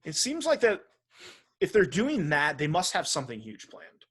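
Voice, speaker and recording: A man's voice: on the high side, huge pitch swings, moderate pace, fairly bright tone, husky. A man co-hosting a video game podcast, chatting casually in a blunt, opinionated way. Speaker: podcast host.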